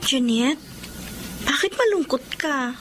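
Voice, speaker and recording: A supportive Filipino best friend in radio melodrama. She is frank and coaxing, with a high voice that moves up and down a lot. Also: expressive, slow, over loud music. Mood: concerned. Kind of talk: Filipino radio drama acting.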